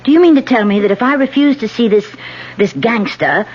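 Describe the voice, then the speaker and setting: Proud woman politician, expressive. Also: fairly high pitch, brisk, breathy edge. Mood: indignant. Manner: indignant, articulate, guarded. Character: proud, idealistic woman politician under strain. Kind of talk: radio drama acting. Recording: muffled, noisy vintage radio recording.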